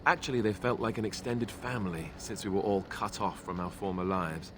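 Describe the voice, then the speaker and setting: An actor playing an affable, boyish young warrior who talks in a conversational, sentimental way in a video game. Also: male voice, lowish voice, brisk, noisy background, natural voice. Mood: friendly, reminiscing. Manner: conversational, self-interrupting storyteller. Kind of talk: video game dialogue acting.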